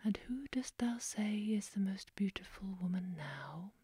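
A woman softly whispering the lines of a vain and cruel jealous queen. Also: medium pitch, animated, a little slow, slightly rough, no echo, clean studio sound. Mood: anxious. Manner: whispered, scheming and sly.

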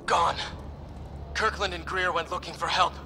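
A man's voice: sits high, flat delivery, moderate pace, bright, forceful tone, a little hiss or hum. A man playing a stoic, gritty wounded space soldier in a video game, strained with pain.

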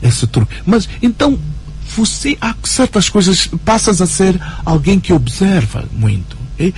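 A writer and journalist as a radio interview guest, talking in an animated way with many fillers and tag questions. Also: male voice, high voice, a little slow, a little hiss or hum. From Angola. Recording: radio broadcast recording.

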